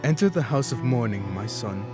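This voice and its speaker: A man's voice: medium pitch, expressive, moderate pace, music in the background, lightly acted. An actor playing a grieving older father, solemnly and formally reading a letter in a radio play. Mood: solemn grief.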